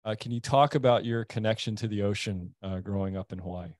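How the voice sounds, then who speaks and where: A male moderator guides an online panel discussion. He asks his questions in a slightly halting way, with a few restarts. He speaks into a close podcast microphone. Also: lowish voice, darkish tone. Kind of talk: hosting a panel. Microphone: podcast mic, video call.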